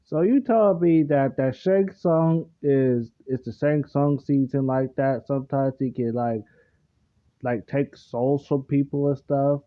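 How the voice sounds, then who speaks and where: A man hosting a reaction video, giving casual, halting, repetitive off-the-cuff comments on game cutscenes. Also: mid-range voice. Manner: halting, repetitive, rambling asides. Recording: dull lo-fi microphone. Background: faint game music.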